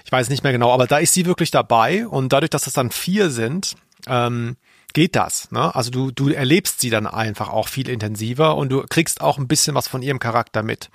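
A man's voice: medium pitch, a little fast, vocal fry, no room sound. A man co-hosting a podcast, chatting casually and with dry irony about audio dramas. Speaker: podcast co-host.

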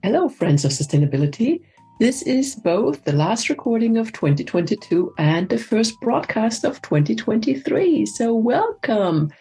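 A woman hosting a podcast interview, articulate and enthusiastic, a little halting when asking questions. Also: fairly high pitch, very animated, mellow, faint music behind. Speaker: podcast host.

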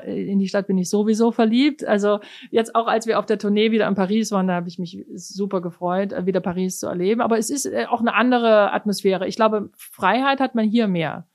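Middle-aged German woman, thoughtful talk. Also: medium pitch. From East Germany. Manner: thoughtful, with frequent fillers and restarts. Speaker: orchestra cellist, interview guest. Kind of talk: casual conversational interview.

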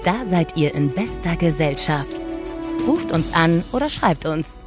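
A woman's voice: on the low side, lively intonation, measured pace. A woman reading scripted announcements as the announcer of a podcast. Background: music.

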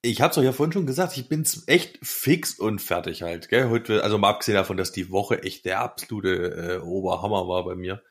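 Man, lowish voice, slangy, colloquial, casual podcast co-host. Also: a little fast, few pauses, slightly rough.

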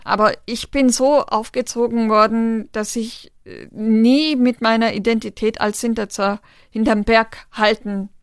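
A woman answers interview questions in an earnest, measured way, pausing with small fillers as she gathers her thoughts. Her voice sits a little high and sounds smooth and clear. The recording is dry and close. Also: steady tone, no room sound. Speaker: interview guest.

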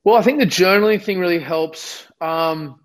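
A young man talks through an interview in a fairly high voice that sounds a little worn from losing it. He rambles with lots of restarts and pokes fun at himself. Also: moderate pace. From Australia. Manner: rambling, self-deprecating, many restarts. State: losing his voice. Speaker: musician guest. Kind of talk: casual interview chat.

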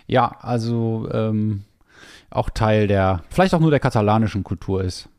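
A man hosting a casual podcast chat, hesitant with many fillers and gently teasing. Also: fairly low pitch, normal speed, smooth. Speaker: podcast host.

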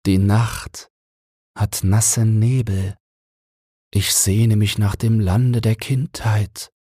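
A man voicing a gentle, grave queen of summer in a fairy-tale audiobook, speaking softly and slowly with wistful yearning. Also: fairly low pitch, flat delivery, slightly rough, no echo, clean studio sound, pitched above the performer's own voice, full character voice. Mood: melancholy, yearning. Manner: slow, soft, yearning.

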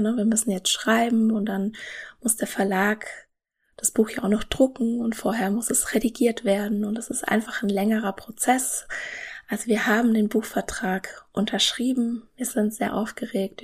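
A woman's voice: mid-range voice, darkish tone, slightly rough. A woman in her thirties hosting a solo podcast, talking earnestly and enthusiastically straight to the listener. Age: 30s. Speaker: podcast host.

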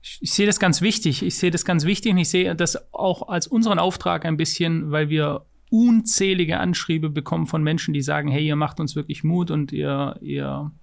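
A man's voice: a little high, fairly even intonation, normal speed, vocal fry. A man co-hosting a podcast, speaking in an earnest, motivational way while searching for words. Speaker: podcast co-host. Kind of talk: casual podcast conversation.

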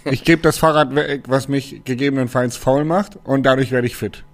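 A man's voice: mid-range voice, steady tone, normal speed. A man co-hosting a casual podcast about cycling, easygoing and hesitant with frequent fillers. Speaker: podcast co-host, sponsored cyclist. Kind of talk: casual chat.

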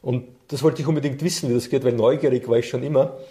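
A middle-aged man speaks as an interview guest with a mellow, relaxed tone. He is a coach and trainer who tells personal stories in a conversational way, at an average male pitch. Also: fast.

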